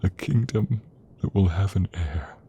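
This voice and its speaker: A man playing a tender, devoted king in a romantic ASMR audio roleplay, speaking intimately with a soft, breathy voice. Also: deep voice, muffled recording, slight background noise. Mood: moved, loving. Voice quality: breathy, soft, often whispered. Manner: slow, intimate, emotive delivery. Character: tender, devoted young king in love with his queen.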